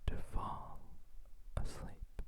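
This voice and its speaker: A man playing a possessive, softly controlling doctor in an ASMR hypnosis roleplay, in a breathy whisper with a soothing, coaxing manner. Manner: slow, soothing, coaxing, quietly dominant. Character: possessive yandere male doctor, gentle but controlling hypnotist.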